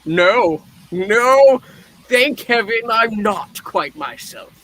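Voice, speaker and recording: A man voicing a puppet who plays an excitable, reformed old miser in a theatrical, drawn-out way. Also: high-pitched, no echo, voice pushed up, exaggerated voice, low-quality recording. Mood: giddy.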